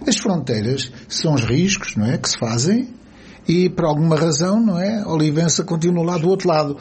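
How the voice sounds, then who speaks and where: Husky Portuguese man, reflective tone. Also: on the high side, animated, fairly quick, darkish tone. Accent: European Portuguese. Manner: reflective, explaining with folksy sayings. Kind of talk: casual political chat.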